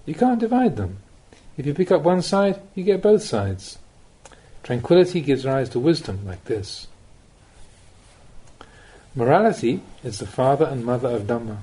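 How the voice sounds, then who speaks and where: A man reading a spiritual teaching aloud as an audiobook, with measured, deliberate phrasing and pauses. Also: mid-range voice, sing-song, dark tone, husky, low-quality recording. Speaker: Buddhist monk reading recorded teachings. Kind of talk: audiobook reading of a Dhamma talk.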